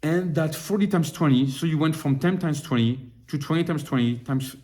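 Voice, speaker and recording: A man teaching a study group on a religious text in an informal lesson. He often restarts and repeats words, then turns more animated as he works through a passage. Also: fairly high pitch. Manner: halting, restarts and repeats words while explaining. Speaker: Torah teacher.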